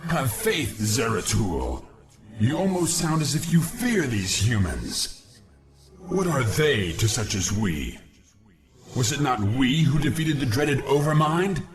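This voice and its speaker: A man's voice: medium pitch, very animated, takes it slowly. A man playing a proud, eager young alien warrior in a video game, speaking in formal, earnest declarations. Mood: proud, confident. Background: music.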